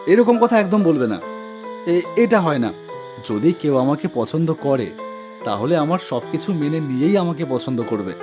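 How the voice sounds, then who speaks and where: A man's voice: fairly high pitch, sing-song, normal speed, over music. A man playing a humble, devoted young auto-rickshaw driver who tells his own story earnestly in a cartoon. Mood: caring, insistent. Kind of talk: first-person cartoon horror story narration with dialogue.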